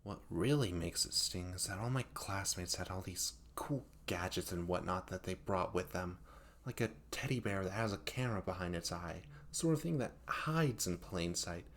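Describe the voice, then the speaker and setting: Man acting flustered, low-voiced hapless young guy. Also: normal speed, slight background noise. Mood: dejected, envious. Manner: flustered, rambling, self-deprecating, hesitant stammering. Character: hapless, sheepish young male best friend failing yandere school. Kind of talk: comedic audio roleplay monologue addressed to the listener.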